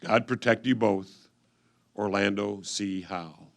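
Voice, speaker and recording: A man reading old letters aloud in a reader's theatre style, with a solemn, measured delivery. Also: lowish voice, fairly even intonation, vocal fry.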